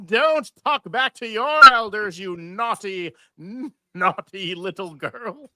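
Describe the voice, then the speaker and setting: Bright forceful voice, unhurried, dry sound, pompous scolding cartoon magician acted by a woman, greedy, theatrical. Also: medium pitch, lively intonation, no room sound. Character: Professor Hinkle, pompous, petulant, greedy bad stage magician. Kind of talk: live table read of a holiday cartoon.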